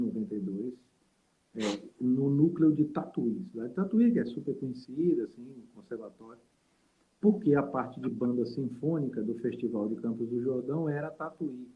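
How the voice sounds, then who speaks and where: A man from Salvador, a guest saxophonist and teacher telling stories in a rambling, reflective way with many fillers over a video call. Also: mid-range voice. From Salvador, Brazil. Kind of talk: casual interview storytelling. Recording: tinny call audio.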